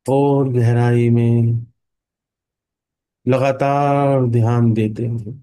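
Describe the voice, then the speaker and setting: An Indian man guides a meditation in a mellow, relaxed voice. He speaks extremely slowly at a medium pitch, in short, calming phrases with long pauses between them. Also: clear, resonant tone. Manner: slow, hushed calming delivery with long pauses.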